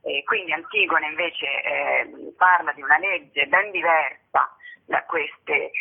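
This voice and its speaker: A woman giving earnest, halting interview answers about artistic work over a tinny telephone line, searching for words. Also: sits low, vocal fry, slightly rough. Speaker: interview guest, theatre artist and author.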